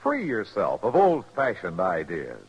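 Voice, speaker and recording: A man's voice: deep voice, huge pitch swings, unhurried. A male radio announcer reading a 1950s commercial in an emphatic, salesmanlike style. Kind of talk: 1950s radio advertising pitch. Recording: dull old radio recording. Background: jingle music.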